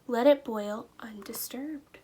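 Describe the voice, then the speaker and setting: A woman voicing a sly, friendly female storybook traveler in a coaxing, sing-song, expressive way. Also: fairly high pitch, slow pace, slightly rough. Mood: coaxing, bright. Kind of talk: storybook character voice in a read-aloud.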